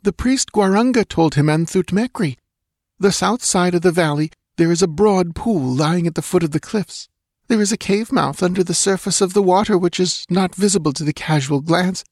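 A man's voice: high voice, moderate pace, a little mellow, no room sound, studio quality, pitched above the performer's own voice. A man voicing a terrified young dancing girl in an audiobook, with a whimpering, pleading, breath-catching delivery. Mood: anxious explaining. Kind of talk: dramatised audiobook character voice.

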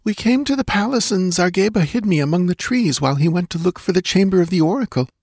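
A man's voice: sits high, lively intonation, fast talker, a little mellow, no room sound, clean studio sound, voice pushed up. A man voicing a terrified young dancing girl in an audiobook, with a whimpering, pleading, breath-catching delivery. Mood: fearful confessing. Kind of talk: dramatised audiobook character voice.

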